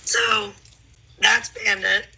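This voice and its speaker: A woman's voice: mid-range voice, flat delivery, drawn-out words, gravelly, background noise. A woman chatting earnestly on a casual group call. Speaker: group chat member.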